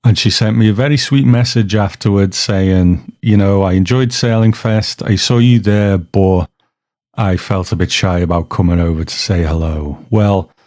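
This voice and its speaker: Low-pitched man hosting a podcast. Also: moderate pace, darkish tone, no room sound, clean studio sound. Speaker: podcast host. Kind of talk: casual hosting talk, introducing listener clips.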